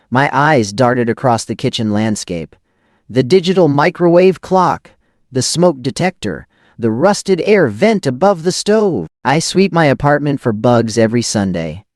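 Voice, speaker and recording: A man's voice: mid-range voice, dry recording, studio quality. A man reading a first-person scripted thriller as an audio drama narrator, in tense, dramatic, clipped phrases.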